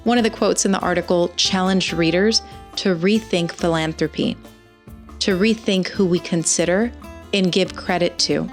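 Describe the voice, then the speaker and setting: A woman presenting a prepared story in a measured, read-aloud delivery. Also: on the low side, gravelly. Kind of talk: scripted storytelling narration. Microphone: video call.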